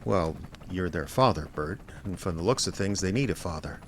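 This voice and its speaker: Man acting small-time private investigator, American, low voice, wry, reasoning, persistent, audio drama, noisy ambience. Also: animated, normal speed. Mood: earnest. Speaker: lead radio drama actor. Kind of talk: audio drama dialogue and first-person detective narration.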